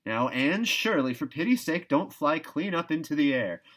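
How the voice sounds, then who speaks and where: Man as a brisk, plain-spoken guardian woman. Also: a little high, big pitch swings, a bit bright, voice pushed up. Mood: warning. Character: brisk, scolding but fond older woman guardian Marilla. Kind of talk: children's novel read aloud.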